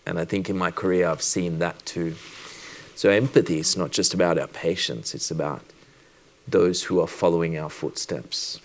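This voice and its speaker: A man from Sri Lanka who works as a doctor, giving a personal conference talk in a reflective, measured way with deliberate pauses. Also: low voice, fairly even intonation.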